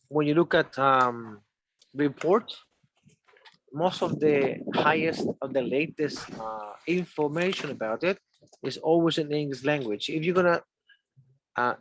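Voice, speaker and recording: A man's voice: mid-range voice, fairly bright tone, slight background noise, low-quality recording. A man from Chile with a Chilean accent, a native Spanish speaker and English teacher, interviewing conversationally and explaining things step by step with examples.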